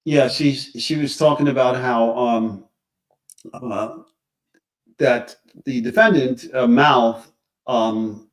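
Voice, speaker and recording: A man discussing crime cases casually on a live stream, halting as he searches for words, recorded at a distance from the microphone. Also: mid-range voice.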